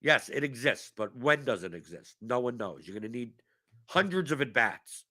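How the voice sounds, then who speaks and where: A man with a bright, forceful voice hosts a casual fantasy sports livestream and answers questions from chat. His voice is going hoarse from a cough. He rambles and repeats words as he restarts his sentences. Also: medium pitch, very animated, normal speed. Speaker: fantasy sports strategy show host.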